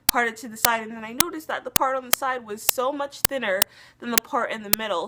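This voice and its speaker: A young woman rambles to her camera in a casual hair vlog, full of fillers and restarts. She sounds a little sick and is losing her voice, at a middling pitch. Loud noise hisses under the recording. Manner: chatty, many fillers and restarts. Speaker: beauty vlogger.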